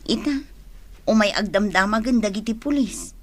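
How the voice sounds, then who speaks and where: An actress with a Filipino accent playing an inquisitive, gossipy village wife in a radio drama. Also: female voice, mid-range voice, animated, normal speed, lightly acted. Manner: inquisitive, chatty.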